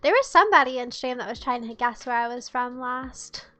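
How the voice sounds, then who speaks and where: A woman's voice: fairly high pitch, lively intonation, a little fast, sharp tone. A young woman from the United States streaming live, chatting casually and teasing her chat in a playful way.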